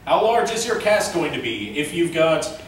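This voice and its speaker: A man presenting an informal convention panel, speaking haltingly as he thinks aloud. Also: a little high, big pitch swings. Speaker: panel presenter, amateur director and voice actor. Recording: echoey room from far off, faint noise.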